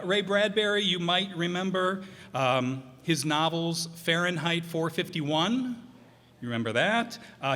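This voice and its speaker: A man giving a casual, halting, off-the-cuff introduction to a live show as its host. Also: on the high side, a bit bright. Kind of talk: casual, unscripted introduction to audience. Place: performance hall before a live audience. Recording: echoey hall, live stage.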